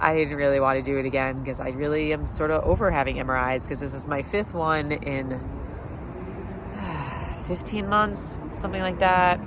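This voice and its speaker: A man with a stuffy nose from a summer cold, talking casually in a rambling video diary, full of fillers and wry sarcasm, sitting outdoors on a curb. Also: a little high. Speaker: vlogger. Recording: street traffic noise. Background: passing traffic.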